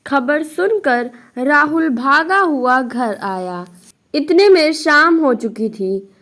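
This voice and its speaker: A woman's voice: sits high, animated, slow, a bit bright. A woman narrating a scripted moral story for children.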